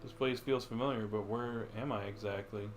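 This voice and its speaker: A man's voice: on the low side, steady tone, moderate pace. Male streamer reading dialogue over game music. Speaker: livestreamer.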